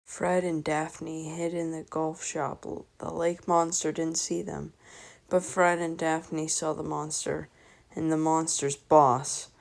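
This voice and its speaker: A woman's voice: low voice, level tone, slow, creaky. A young woman reading a children's mystery story as scripted narration. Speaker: storybook reader.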